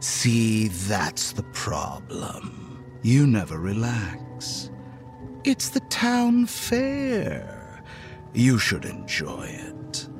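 An inhuman stranger in a horror audio drama, calm and quietly menacing. His lowish voice moves very slowly and swings widely in pitch, taunting softly and sinking toward a whisper. Faint music plays beneath him. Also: heavily acted. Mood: teasing. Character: calm inhuman man in hoodie, mildly amused, quietly menacing.

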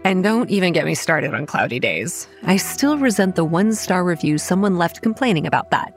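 An actress playing a wry, weary young campground manager who narrates a horror audio drama in a measured, dry way. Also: female voice, on the low side, big pitch swings. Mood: wry, reflective. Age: young adult. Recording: music under the voice.

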